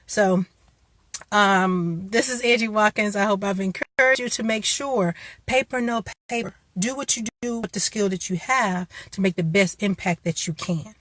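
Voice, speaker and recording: A woman's voice: medium pitch, normal speed, a bit bright, no room sound. A woman hosting a casual motivational talk, giving encouraging career advice in a conversational way with frequent restarts. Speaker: career coach host.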